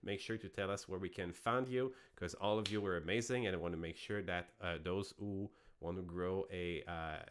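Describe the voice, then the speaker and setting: A man's voice: low voice, fairly even intonation, normal speed, hardly any pauses, a little hiss or hum. A man with a French Canadian accent hosting a casual online debate show, rambling with frequent restarts.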